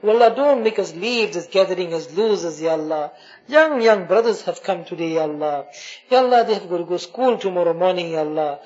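A man's voice: high voice, lively intonation, fairly quick, a little mellow, muffled recording. A man leading a congregation in an emotional supplication after night prayers in a mosque, repeating invocations in a pleading voice that moves between chanting and speaking. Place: mosque during a night gathering.

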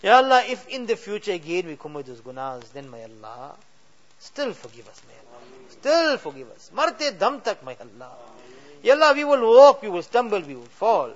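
A man with a fairly high voice leads a tearful supplication. He pleads in rising cries and then lets each phrase fall away softly. The recording is dull and lo-fi. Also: very animated, brisk. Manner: mixes English with Urdu and Arabic words. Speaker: imam leading a prayer. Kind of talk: emotional supplication.

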